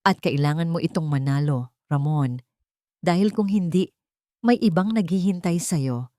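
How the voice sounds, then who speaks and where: A female narrator acts out a cold, calculating woman bent on revenge. Her voice is controlled and lowish, in a dry storybook recording. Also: moderate pace, dark tone, dry recording, lightly acted. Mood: cold threat.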